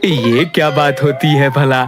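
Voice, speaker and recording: A woman's voice: rumbling low, steady tone, a little slow, music in the background, pitched below the performer's own voice. A woman voicing a bewildered, plain-spoken village man in a cartoon, puzzled and protesting. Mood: baffled. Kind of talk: Hindi cartoon dialogue.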